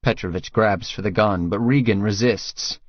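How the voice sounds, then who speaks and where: Guy reading a novel, fairly low voice, dry and slightly dull recording. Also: moderate pace, no room sound. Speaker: audiobook narrator. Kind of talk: scripted first-person fiction narration.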